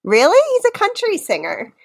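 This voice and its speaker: A woman's voice: sits high, huge pitch swings, a little fast. A woman hosting a podcast in casual comedic chat, with a teasing manner and a theatrical streak when reading lyrics. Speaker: podcast host.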